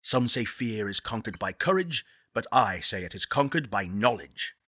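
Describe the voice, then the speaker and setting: A man playing an earnest, eloquent space-program director in a video game, speaking in measured, deliberate oratory. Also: fairly low pitch, animated, bright, forceful tone, no room sound, clean studio sound. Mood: lofty, persuasive. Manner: articulate, deliberate, solemn.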